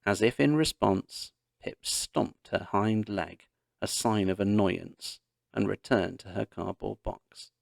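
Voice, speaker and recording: A man narrating a children's story aloud in an expressive, dramatic storytelling manner. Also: lowish voice, slow pace, creaky, no room sound, studio quality. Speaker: audiobook narrator.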